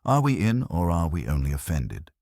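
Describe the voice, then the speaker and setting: A cheeky, wry detective's assistant, voiced by a male audiobook reader. He has a very deep voice with varied intonation. His manner is dry and wisecracking. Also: wildly swooping pitch, normal speed, gravelly, darkish tone, no echo, heavily acted.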